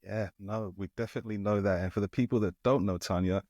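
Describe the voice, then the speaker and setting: A man's voice: fairly low pitch, fairly even intonation, fast, darkish tone, no echo, muffled recording. A man hosting a podcast, asking questions in a friendly, casual way and leaning often on filler phrases. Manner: friendly, leans on "you know" fillers. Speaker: podcast host.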